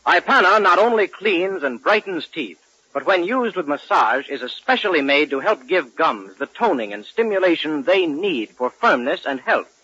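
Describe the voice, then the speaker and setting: A man's voice: on the high side, sing-song, normal speed, pushed and bright, husky, no room sound. A man reading a scripted 1940s radio advertisement as a commercial announcer. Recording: muffled vintage 1940s radio recording.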